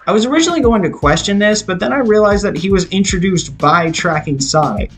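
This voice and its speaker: A man's voice: sits high, lively intonation, brisk, fairly bright tone. A man reading a scripted film review in an opinionated, explanatory way. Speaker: video reviewer. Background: background music.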